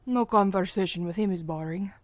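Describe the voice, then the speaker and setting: A woman's voice: mid-range voice, fairly quick, no echo, lightly acted. A woman playing a thoughtful, wry veteran comrade in a conversational audio drama, frank and reflective.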